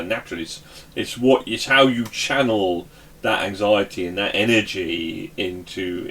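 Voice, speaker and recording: Low-voiced man interviewing, far from the mic. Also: fairly bright tone. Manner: informal, chatty, conversational. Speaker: interviewer, host. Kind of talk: casual interview chat. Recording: distant mic with background noise.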